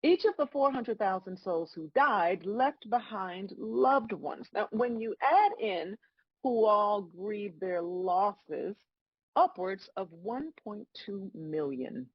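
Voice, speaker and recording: Husky, sardonic God played by a woman, swooping delivery. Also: medium pitch, animated, slow, no room sound, lo-fi sound, heavily acted. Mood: stern. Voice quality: husky, raspy. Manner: dry, scolding, sarcastic. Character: God as a stern, sardonic female celestial judge. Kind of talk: comedic script table read.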